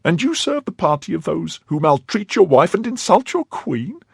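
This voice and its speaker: A man voicing an indignant young wife who scolds her husband, pushing his husky voice higher. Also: sing-song, moderate pace, no echo, studio quality, heavily acted. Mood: scornful. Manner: scornful, pleading and scolding by turns. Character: young woman, indignant, fiercely loyal wife.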